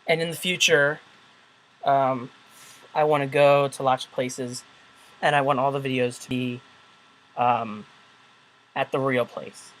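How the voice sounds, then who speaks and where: A man's voice: mid-range voice, steady tone, a little slow, pauses often, a bit bright, rough recording. A man who makes videos, hosting a casual chat, talking hesitantly and searching for his words. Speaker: video maker, host. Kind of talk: casual conversation.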